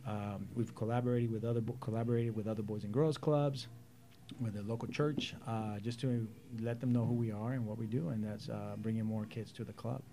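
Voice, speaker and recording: A man's voice: lowish voice, fairly even intonation, fairly quick, background noise. A man in his forties from El Salvador, a youth club director, telling his story earnestly as an interview guest. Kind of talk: casual interview talk.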